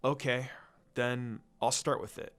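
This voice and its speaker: Man voicing a quiet, low, brooding ninja boy. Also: fairly even intonation, slow pace. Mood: bitter, grieving. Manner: quiet, grave, controlled. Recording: music under the voice.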